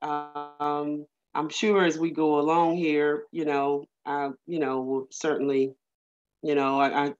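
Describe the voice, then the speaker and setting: A woman's voice: low-pitched, little pitch movement, slow. Woman rambling in a community meeting, lots of um and you know, muffled call audio. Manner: rambling, hesitant, many fillers. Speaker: community working group member. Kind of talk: casual meeting remarks. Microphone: video call.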